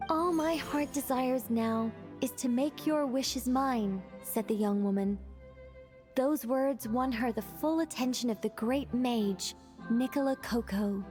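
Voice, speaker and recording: Game mage storyteller, woman actor: young, lightly high-pitched, poised, playful, theatrical narration, faint music behind. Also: unhurried, lightly acted. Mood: dramatic. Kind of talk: video game voice acting, dramatic narration.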